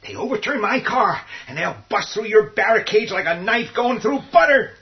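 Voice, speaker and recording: A man's voice: high-pitched, expressive, normal speed. A man playing a stubborn, panicky, defensive survivor in a horror radio play, agitated and argumentative. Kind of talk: radio horror drama acting. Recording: dull lo-fi audio.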